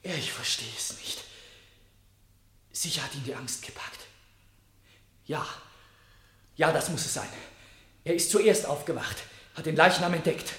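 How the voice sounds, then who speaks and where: An actor playing a frightened, evasive murder suspect in a radio play, halting and hesitant, moving between hushed and vehement delivery. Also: male voice, on the high side, expressive, echoey room. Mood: desperate, searching. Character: anguished young murder suspect, evasive and frightened, protesting innocence. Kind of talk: German radio play acting, interrogation drama.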